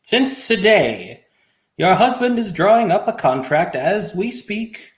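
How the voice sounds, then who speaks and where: A woman playing a stiff, formal gentleman scientist in a comic play table read, stilted and haughty, in an echoey recording. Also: mid-range voice, big pitch swings, slow pace, noisy background. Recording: echoey, roomy microphone sound.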